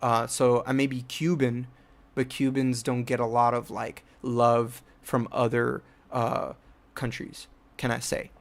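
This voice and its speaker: A man's voice: mid-range voice, monotone, unhurried, gravelly. A young man from New Jersey telling a rambling personal story about his filmmaking, with many fillers and restarts. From United States (New Jersey). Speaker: filmmaker and channel host.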